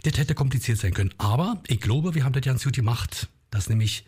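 A husky-voiced man from Berlin speaks with a Berlin accent as a musician guest. His pitch sits mid-range for a man. He talks in a relaxed, chatty way, often pausing and hesitating. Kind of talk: interview chat.

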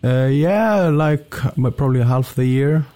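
A man's voice: medium pitch, lively intonation, a little slow, mellow. A young man, a band member guesting on a radio interview, talking casually with lots of fillers and restarts. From Portugal. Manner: hesitant, many fillers and restarts.

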